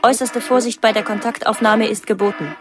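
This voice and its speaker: An actress voicing an impersonal, insistent computer announcement in a radio drama, formal and repetitive. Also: female voice, fairly high pitch, steady tone, fairly quick, close to an everyday voice. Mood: impersonal warning.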